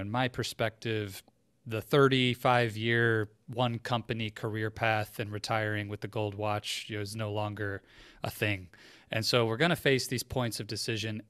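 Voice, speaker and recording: A man hosts an interview in a low, clear voice. He sets up his questions conversationally, and the recording is dry and free of echo. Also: a bit bright. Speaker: podcast host, career coach interviewer.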